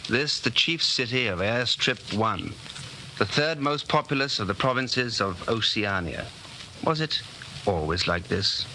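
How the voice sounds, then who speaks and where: A man's voice: mid-range voice, lively intonation, measured pace, bright, forceful tone, full character voice. A British actor playing a tormented, brooding man in his late thirties, in 1940s radio drama style. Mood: brooding, anguished. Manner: brooding, questioning. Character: frail, tormented, secretly rebellious man of thirty-nine. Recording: faintly noisy 1949 radio recording.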